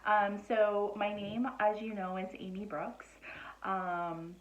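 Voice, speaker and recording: A woman in her thirties, originally from Nova Scotia, chats casually to the camera about herself. She rambles a little, with plenty of ums and self-corrections, at a middle pitch. The recording sounds roomy and a bit dull, like a home setup. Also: slow. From Nova Scotia, Canada. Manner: chatty and relaxed, many ums and restarts. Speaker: psychic medium introducing herself.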